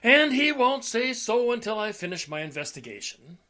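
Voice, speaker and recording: An actor playing an insistent police lieutenant in a radio detective play, brusque and probing. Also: male voice, very high voice, sing-song, moderate pace, pushed and bright, full character voice. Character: insistent, needling male police lieutenant questioning suspects. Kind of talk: old-time radio detective play acting.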